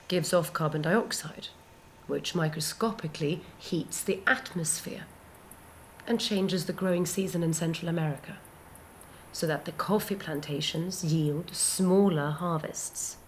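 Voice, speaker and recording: A woman playing a solemn, poetic philosopher heroine in a dramatic monologue, deliberate and hushed with portentous pauses. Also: fairly low pitch, natural voice. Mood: solemn wonder. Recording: faint background noise and ambience.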